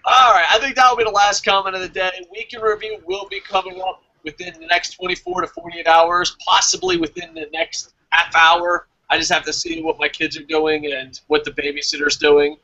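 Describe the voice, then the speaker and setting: A man's voice: sits high, fairly quick, few pauses, sharp tone, low-quality recording. A man hosting a casual wrestling review podcast, chatting informally with some swearing. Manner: profane, informal. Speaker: podcast host.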